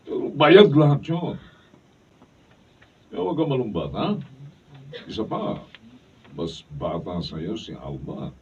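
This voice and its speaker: A man's voice: on the low side, wildly swooping pitch, slow, pauses often, darkish tone, distant mic, slight background noise, heavily acted. An actor playing a cold, mocking, womanizing rich lover in a Filipino radio drama. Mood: mocking, dismissive. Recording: dull recording, off the mic.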